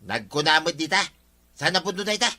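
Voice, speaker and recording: A man's voice: fairly high pitch, brisk, bright, forceful tone. A man acting a hapless, pleading village husband in an Ilocano comedy drama, with an Ilocano accent. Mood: defensive. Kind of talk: Ilocano radio-style comedy drama dialogue.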